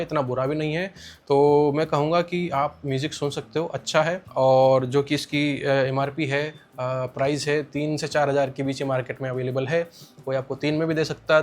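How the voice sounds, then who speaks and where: Slightly high-pitched Indian man reviewing a product. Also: a little hiss or hum. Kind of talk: casual spoken product review.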